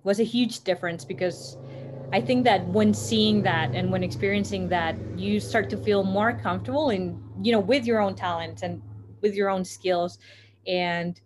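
A young woman from Costa Rica, answering interview questions thoughtfully, with frequent pauses and restarts. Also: medium pitch, darkish tone, a little hiss or hum, poor audio. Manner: thoughtful, many fillers and restarts. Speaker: guest.

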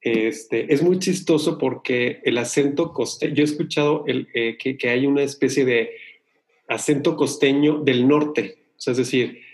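A man's voice: mid-range voice, unhurried. A man from Sonora, Mexico, co-hosting a casual chat, talking in a rambling way with many restarts. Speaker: podcast co-host.

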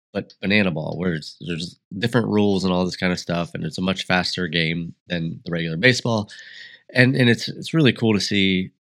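Low-voiced, congested man rambling solo. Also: a bit bright. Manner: rambling, reflective, frequent restarts. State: congested, sniffly. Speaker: podcast host. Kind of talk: solo podcast monologue.

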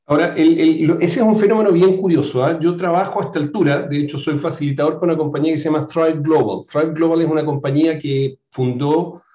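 A man's voice: a little high, fast, few pauses, dark tone. A man hosting a casual interview, playful and joking, who turns to the audience directly. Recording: echoey, dull lo-fi room sound.

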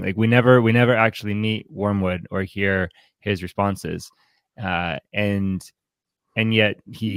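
A man with a fairly low-pitched voice talks casually about books as a podcast co-host. He circles his thoughts haltingly, often doubling back on words. The sound is very dry, with no room around it. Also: fairly bright tone, no echo. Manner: halting, thinking aloud, repeats words. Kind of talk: casual literary discussion.

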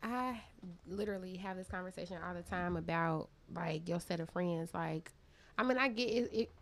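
A woman's voice: fairly low pitch, moderate pace. A woman talking casually in a group chat, blunt and emphatic, swearing and cutting in. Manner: blunt and emphatic, swears, talks over others. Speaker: bartender, podcast co-host.

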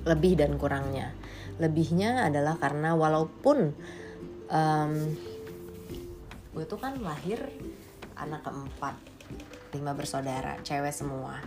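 A woman's voice: low voice, unhurried, long pauses, music in the background. A woman in her late twenties from Indonesia giving a casual, candid monologue, blunt and slangy, with English phrases mixed in. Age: late 20s.

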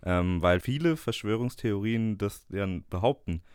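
Young guy cohosting a podcast, chill and laughy, lowish voice, dry close sound. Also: normal speed, clear, resonant tone, no room sound. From Bavaria, Germany. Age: young adult. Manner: relaxed, laughs easily. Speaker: podcast co-host, journalism student. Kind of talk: casual scripted podcast chat.

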